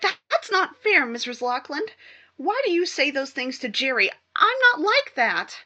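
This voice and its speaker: An actress playing a distraught young wife in a 1950s-style radio crime drama, emotional, halting and pleading. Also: female voice, high-pitched, very animated, bright, forceful tone, dry recording, exaggerated voice. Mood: pleading, frantic. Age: young adult.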